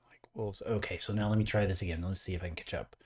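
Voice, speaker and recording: A man in his late forties chats casually on a live painting stream. His voice sits on the low side. He rambles and jokes while reading viewer comments aloud. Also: steady tone, quick pace, darkish tone. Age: 40s. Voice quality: graying beard mentioned, easygoing. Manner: rambling, joking, reads chat aloud.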